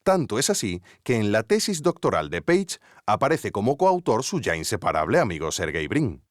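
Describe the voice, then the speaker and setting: An actor playing an earnest investigative podcast narrator with a Spanish accent from Spain, theatrical, suspenseful and tongue-in-cheek. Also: male voice, fairly low pitch, no echo, studio quality. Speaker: host. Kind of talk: scripted documentary narration.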